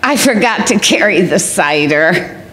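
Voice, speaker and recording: A woman's voice: medium pitch, lively intonation, unhurried, background noise, natural voice. A woman playing a scatterbrained, cheerfully muddled wife in old-time radio comedy, with earnest, mixed-up reasoning. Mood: eager, muddled.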